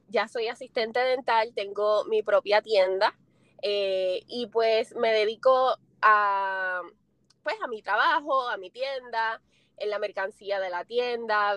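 A woman's voice: medium pitch, fairly bright tone, no echo. A young woman from Puerto Rico with a Puerto Rican accent, answering interview questions casually and with a smile, laughing often. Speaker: interview guest.

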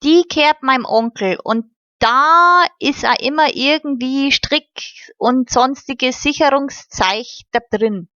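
A woman's voice: high voice, takes it slowly, sharp tone, dry recording, highs cut off, pitched above the performer's own voice, heavily acted. A woman voicing a careful, sensible boy, earnestly explaining.

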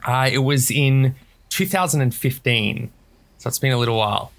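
An Australian man from Australia, a guest author telling his story in a relaxed interview, with many ums and uhs. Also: medium pitch, moderate pace, vocal fry. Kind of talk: casual interview.

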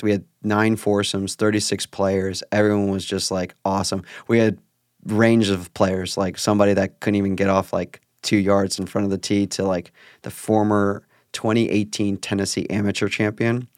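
A man's voice: lowish voice, no echo, clean studio sound. A man hosting a podcast, chatting casually and rambling through stories with the odd swear. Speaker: podcast host. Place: at a park.

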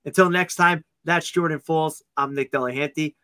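Male sports podcast co-host, bright forceful tone, slightly high, dry close sound, casual and joking. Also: fairly even intonation, dry recording. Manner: upbeat, joking, quick asides.

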